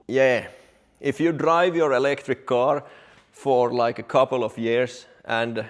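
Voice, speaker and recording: A man from Finland, a technician talking directly and plainly to viewers as he hosts an explanatory car vlog in English. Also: mid-range voice. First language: Finnish or Swedish. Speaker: car technician and video host.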